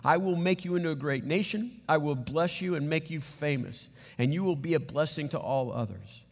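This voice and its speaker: A man preaching a sermon, emphatic and animated, often repeating words as he drives points home. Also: fairly high pitch, normal speed, long reverb tail. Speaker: pastor.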